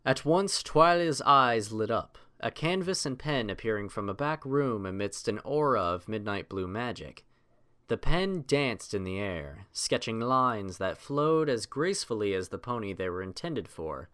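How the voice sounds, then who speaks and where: A man reading scripted fiction as a narrator, in a measured, literary storytelling manner. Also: on the low side, expressive, fairly bright tone, clean tone.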